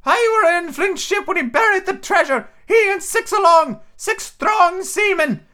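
A man voicing a half-crazed old male castaway, eager and sly, in a high, cracking old-sailor voice. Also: unhurried, pushed and bright, heavily acted. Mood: boastful. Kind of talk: amateur read-aloud character voice.

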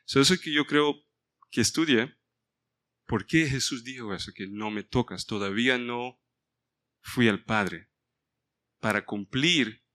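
Bright-voiced man leading a Bible study. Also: on the low side. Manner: mixes in English words, stops to ask the group for help. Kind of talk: informal Bible study sermon.

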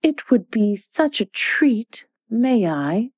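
A cooing, flustered old queen with a soft, breathy voice that jumps high into shrill cries, as a woman puts her on. Her pitch swoops all over, and the sound is dry and close. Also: big pitch swings, takes it slowly, dry recording.

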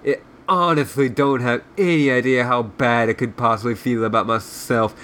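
A man's voice: medium pitch, steady tone, normal speed, fairly bright tone, lightly acted. A man voicing a surly, self-loathing sprite guide who rambles sarcastically and swears as he insults, in a comic read-aloud. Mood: rambling, self-loathing. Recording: clearly noisy background.

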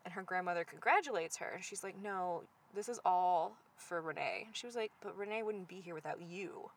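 A woman chatting casually about a movie's plot, easygoing and quick to laugh, with many filler words. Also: lowish voice. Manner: easygoing, laughs often, frequent "yeah" and "like". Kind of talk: casual movie recap chat.

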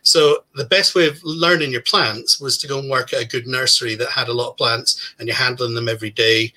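A man in his forties with a very bright, forceful voice talks casually in an interview. He is relaxed and self-deprecating, rambling along with easy fillers. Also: medium pitch, a little fast. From Scotland. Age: 40s. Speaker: podcast guest, plantsman.

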